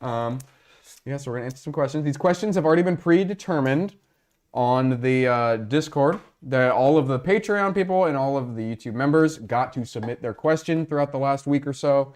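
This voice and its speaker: A man chats loosely through a livestream, joking and wandering off topic as he reads to his viewers. His voice sits at an ordinary middle pitch. He is picked up close on a lapel mic in a home studio. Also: fairly quick, a little mellow. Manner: rambling, joking, talks to chat. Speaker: livestream host. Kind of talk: casual livestream chat.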